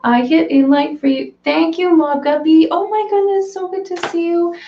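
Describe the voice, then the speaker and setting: A woman hosting a casual live stream from her fish room, chatting and answering viewer comments with a hoarse, cracking voice. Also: very high voice, fairly even intonation, normal speed. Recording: roomy, slightly dull home recording.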